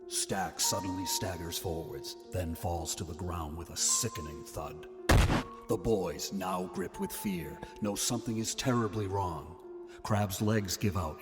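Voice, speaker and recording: A man's voice: deep voice, in a large hall. A man giving dramatic scripted narration as the narrator of an audio story.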